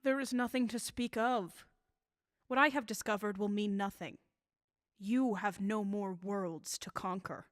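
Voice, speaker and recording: A woman playing an embittered elderly scientist in a radio drama, measured, calm and dryly sardonic. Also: mid-range voice, no room sound, studio quality, lightly acted. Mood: dry, bitter. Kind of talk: 1930s-style radio drama acting.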